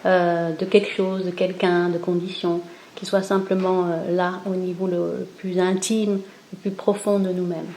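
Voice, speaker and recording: A woman from Cambodia, speaking as an interview guest in a gentle, hesitant way with many pauses. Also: on the low side, level tone, reverberant, slight background noise, rough recording. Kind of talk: spontaneous interview, reflective talk.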